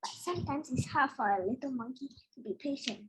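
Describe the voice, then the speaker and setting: A woman reading a children's picture book aloud in an expressive way, as if to young listeners. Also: sits high, brisk, background noise. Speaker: read-aloud storyteller.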